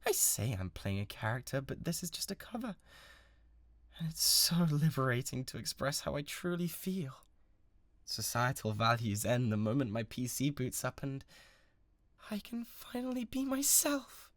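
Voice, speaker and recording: A man's voice: medium pitch, very animated, normal speed, no room sound. A British man playing an obsessive, bratty young livestreamer in a dramatised roleplay, talking intimately and needily to the listener with an undercurrent of menace. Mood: bitter, confiding. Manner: intimate, pleading, swinging between hushed and insistent.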